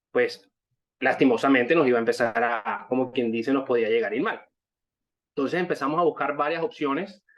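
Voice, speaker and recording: A man of thirty-five with a Barranquilla accent from Colombia's Caribbean coast, giving a wordy personal testimonial to an online group, circling back over his phrases. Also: medium pitch. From Colombia, Caribbean coast (Barranquilla, born in Santa Marta). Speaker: network marketing member giving a testimonial. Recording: dull, muffled online-call audio.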